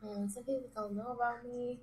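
A woman's voice: fairly high pitch, little pitch movement, fairly quick, a little mellow, a little hiss or hum, rough recording. A woman guest on a radio show, giving short, hesitant answers. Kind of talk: casual radio chat.

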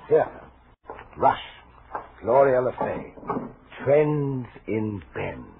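An actor playing a courtly, poetic, eerily polite suitor with formal, hesitant speech in a 1940s radio drama. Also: male voice, medium pitch, expressive, extremely slow. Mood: awed, admiring. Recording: muffled, noisy old radio recording.

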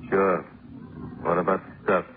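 Low-voiced, surly gangland henchman. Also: little pitch movement, unhurried. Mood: curt. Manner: terse, surly. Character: sullen, tough gangland henchman, stubborn and evasive. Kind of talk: 1950s radio drama acting. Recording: old radio recording, narrow band, faint noise.

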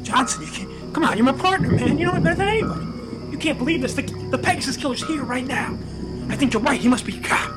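A man performs an unhinged police sergeant in a melodramatic audio drama, obsessive and frantic. His voice sits high, and he rambles and stammers. Loud music plays behind him. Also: animated, fast, few pauses, poor audio. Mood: panicked, rambling. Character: unhinged obsessive police sergeant hunting a killer, himself deluded.

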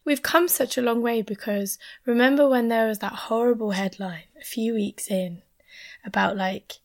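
A woman's voice: a little high, normal speed, darkish tone. A woman who has lost her voice and has a sore throat, chatting casually over a video call, often dropping to a near whisper. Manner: chatty, drops to a near whisper at serious points.

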